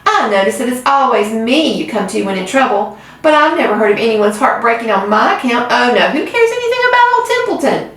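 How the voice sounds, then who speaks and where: Woman doing a high whiny rat, sarcastic, mocking, very echoey, distant mic. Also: sing-song, a little fast. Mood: sarcastic grumbling. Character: grumbling selfish male rat, sarcastic.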